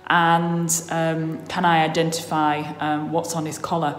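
A woman's voice: sits low, little pitch movement, a little slow. A woman, talking worriedly into a phone held at arm's length in a casual vlog. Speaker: vlogger. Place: outdoors in the countryside and indoors at home.

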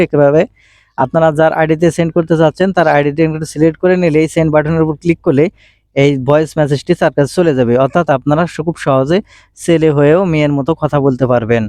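Male tech tutorial narrator, soft mellow tone, dry close sound, instructional and repetitive delivery. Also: on the high side, no echo. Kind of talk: step-by-step screen tutorial narration.

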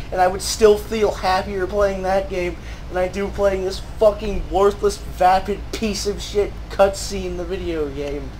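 A woman's voice: mid-range voice, darkish tone, a little hiss or hum, rough recording. A woman gaming creator delivering an angry, emphatic, repetitive rant full of swearing over gameplay. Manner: exasperated, profane, emphatic, repetitive.

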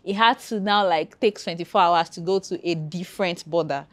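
Relaxed low-voiced Nigerian woman. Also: normal speed. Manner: relaxed and chatty, repeats points for emphasis. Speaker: podcast guest, travel content creator. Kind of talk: casual conversational interview.

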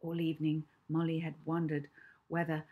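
A middle-aged woman narrates a children's book. Her voice is fairly low, with a husky, breathy edge. She reads expressively and deliberately, leaving pauses between phrases. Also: monotone, dark tone. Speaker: author reading own book.

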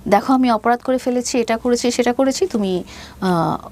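A woman's voice: fairly high pitch, a little mellow. A woman in her fifties with a Bangladeshi accent, telling a personal story as an interview guest, hesitantly and with English phrases mixed in. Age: 50s. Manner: hesitant, halting delivery, mixing in English phrases.

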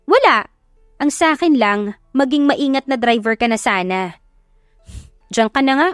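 A woman voicing a feisty young eldest sister in an audio drama, sassy, grumbling and expressive. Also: high voice, measured pace, clear, resonant tone, no echo, clean studio sound, soft background music, voice pushed up. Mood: irritated.

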